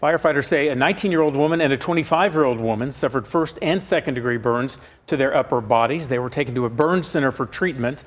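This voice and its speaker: A man's voice: medium pitch, quick pace, hardly any pauses. A man reading scripted TV news as an anchor.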